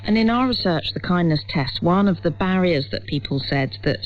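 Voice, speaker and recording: A woman's voice: on the low side, lively intonation, normal speed. A British woman presenting a radio interview programme in a conversational, inquisitive way. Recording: dull radio recording, loud music.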